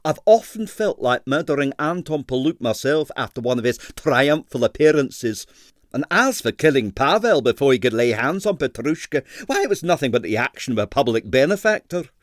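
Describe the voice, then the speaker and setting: A man's voice: mid-range voice, very animated, moderate pace, dry recording, studio quality, voice pushed up, close to an everyday voice. A man voicing a sour, disdainful doctor who loathes bad ballet, emphatic and blandly scathing, for an audiobook. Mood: confiding. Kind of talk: audiobook character voice.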